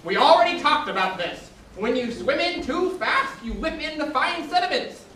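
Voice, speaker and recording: Woman playing a fussy snapping shrimp, high and echoey. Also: lively intonation, normal speed, a bit bright. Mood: exasperated. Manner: exasperated, wry. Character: fussy, grumbling, tidy snapping shrimp named Alfie. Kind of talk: children's puppet show acting. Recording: roomy distant live recording with clear noise.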